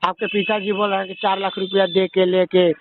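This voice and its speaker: A tinny phone line carries a man's fairly high voice. He has a Bhojpuri accent and works as a reporter, interviewing by phone. His questioning is pushy, confrontational and scolding. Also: completely flat delivery, a little fast, background noise. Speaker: media reporter questioning a caller. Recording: tinny, band-limited phone call.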